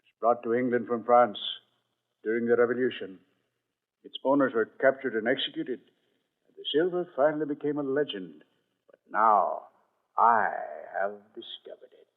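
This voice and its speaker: Actor as testy, secretive doctor, muffled radio. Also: male voice, medium pitch, normal speed, pauses often, natural voice. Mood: grave, storytelling. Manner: conspiratorial, testy, formal wording. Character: secretive, irritable male country doctor, long in hiding. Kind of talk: old-time radio drama acting. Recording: muffled, narrow-band old radio recording.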